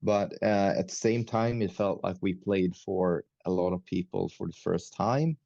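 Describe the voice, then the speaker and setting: A very deep, gravelly male voice with a dark, mellow tone, speaking slowly. He is a Swedish-native metal musician being interviewed, hesitant, with frequent fillers and restarts. The sound is dry and a bit dull, like a lo-fi call. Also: dry recording. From Sweden. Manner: hesitant, many fillers and restarts, laughs often. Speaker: guest musician, metal band. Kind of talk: casual interview chat.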